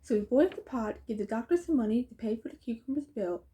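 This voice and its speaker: Female amateur storybook reader, high voice, mellow timbre. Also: lively intonation, fast talker, husky. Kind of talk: reading a children's storybook aloud.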